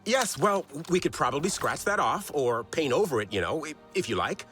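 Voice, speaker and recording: An eccentric, friendly inventor from a video game, with a bright, forward voice. He chatters proudly and with self-amusement, his intonation leaping all over. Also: a little high, big pitch swings, moderate pace, faint music behind. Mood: proud, eager. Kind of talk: video game cutscene acting.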